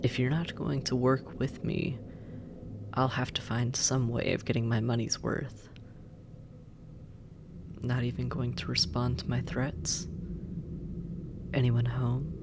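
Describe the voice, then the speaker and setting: A slow, creaky-voiced demon captor played by an actor speaks over clearly noisy ambience in an intimate audio roleplay. The demon is sardonic and possessive, teasing and musing, often slipping into a breathy murmur. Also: mid-range voice, flat delivery. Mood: exasperated, threatening. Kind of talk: intimate scripted audio roleplay addressed to listener.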